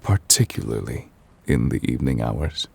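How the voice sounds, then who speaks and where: A man playing an ancient, weary chief judge in an intimate ASMR roleplay, formal and gentle, speaking in measured, hesitant phrases. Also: heavily acted. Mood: quietly welcoming. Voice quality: husky, breathy low voice. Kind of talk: intimate ASMR audio roleplay, slow dramatic monologue.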